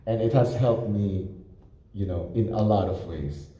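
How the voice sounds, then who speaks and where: Mellow-voiced man moderating in an echoey hall. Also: on the low side. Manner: halting, repeats words as he goes. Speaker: panel moderator, violinist. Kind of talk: panel talk.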